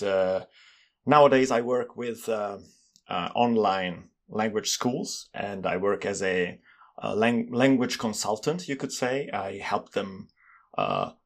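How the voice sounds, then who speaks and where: A man with an Italian accent, a native Italian speaker, answering interview questions in a calm, thoughtful way as he searches for words. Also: lowish voice, vocal fry. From Italy. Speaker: guest, polyglot and language consultant. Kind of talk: casual interview conversation.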